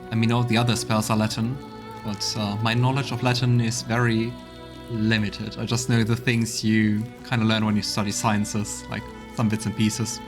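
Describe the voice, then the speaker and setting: A man's voice: fairly low pitch, little pitch movement, normal speed. Laid-back man commenting over game music. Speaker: let's player. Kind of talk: casual gameplay commentary.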